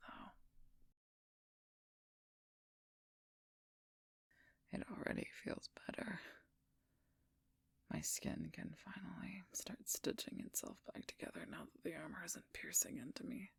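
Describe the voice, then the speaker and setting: Wounded werewolf knight, female, played by a woman: protective, weary, wry. Very breathy whisper, dry close sound, intimate roleplay audio. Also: fairly low pitch, no echo, full character voice. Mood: tired, relieved. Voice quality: breathy, husky, gravelly whisper.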